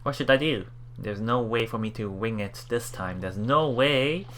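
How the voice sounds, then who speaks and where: A man hosting a let's play, reading game text aloud and adding casual, halting commentary with repeated words and exclamations. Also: medium pitch, a bit bright, slight background noise. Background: game music.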